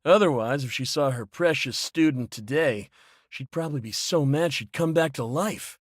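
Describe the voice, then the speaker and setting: An actor playing a hot-headed, resentful pirate in an anime game, brash and scornful in delivery. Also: male voice, mid-range voice, normal speed, no room sound, clean studio sound, close to an everyday voice. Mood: scornful, angry. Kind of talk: English anime game voice acting.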